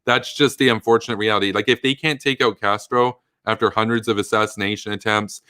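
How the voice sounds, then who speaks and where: A man's voice: lowish voice, fairly even intonation, fairly quick, pushed and bright, smooth, no room sound. A man hosting a political live stream, reading chat questions and answering in a blunt, rambling, emphatic way with many fillers. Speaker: political commentary host.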